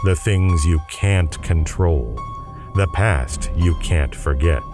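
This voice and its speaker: A man's voice: deep voice, slow pace. A man narrating a radio serial in a theatrical, ominous, suspense-building style. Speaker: narrator and announcer. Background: music.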